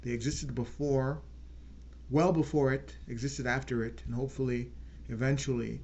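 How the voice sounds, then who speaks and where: A man hosting his own channel, giving an earnest unscripted political talk and slowing down to stress key words. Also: medium pitch, fairly even intonation, darkish tone, muffled recording, slight background noise. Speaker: channel host.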